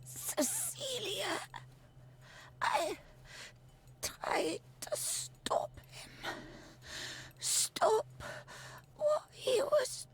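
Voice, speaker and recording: A terrified, frail old woman in a game drama. Her thin voice is extremely high and quavers with a creak. She speaks in broken, very slow fragments. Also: wildly swooping pitch, whispered, full character voice. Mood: distraught, halting. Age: elderly. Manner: halting, fragmented, fearful. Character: frail, terrified, emaciated old great-grandmother, broken and captive. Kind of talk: dramatic video game acting.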